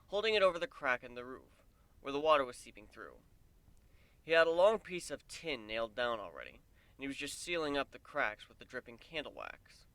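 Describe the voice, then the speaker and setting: A man narrating a story as a dramatic reading, in a measured, descriptive manner. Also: mid-range voice, a bit bright, dry recording. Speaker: fan fiction narrator.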